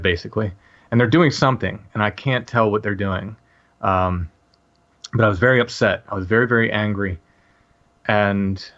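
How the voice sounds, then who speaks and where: Low-voiced man telling a story haltingly. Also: fairly bright tone, dull audio. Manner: halting, many restarts and fillers. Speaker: podcast guest. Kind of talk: casual storytelling.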